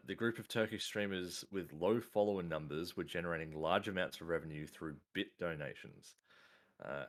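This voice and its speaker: Low-voiced male host, halting news read full of fillers. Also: fairly bright tone. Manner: hesitant, many "uh" fillers. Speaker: podcast host reading news. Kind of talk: casual news roundup.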